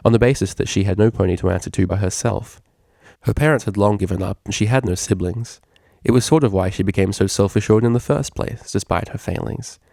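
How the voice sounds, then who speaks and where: A man's voice: lowish voice, gravelly, warm tone, no echo, studio quality. A man reading a scripted story aloud as its narrator. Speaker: fan fiction reader.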